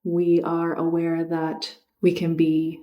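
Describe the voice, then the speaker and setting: A tired woman vlogger who natively speaks Spanish, chatting casually and reflectively, sometimes halting to rephrase. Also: low voice, monotone, dark tone. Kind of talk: casual reflective chat to camera.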